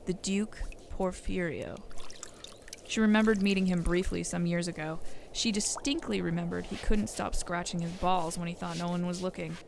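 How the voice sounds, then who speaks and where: A woman's voice: fairly low pitch, steady tone, normal speed, creaky, a little hiss or hum. A woman narrator reading a scripted audio story. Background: music.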